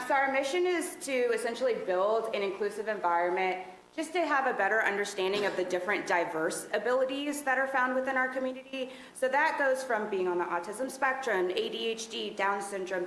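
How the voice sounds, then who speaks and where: A woman presenting to a city council work session, speaking loudly in a relaxed, conversational way with many fillers. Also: mid-range voice. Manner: loud voice. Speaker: task force presenter. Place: council meeting room. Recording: echoey meeting room with faint noise.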